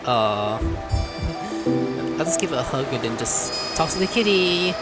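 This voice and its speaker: A man giving excitable, joking commentary while playing a story game. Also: a little high, unhurried, over loud music. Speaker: gaming streamer. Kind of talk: casual gameplay commentary.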